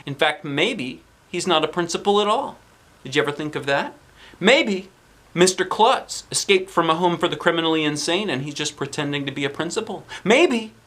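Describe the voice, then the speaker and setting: Man reading as a skeptical, animated young schoolboy. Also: a little high, voice pushed up.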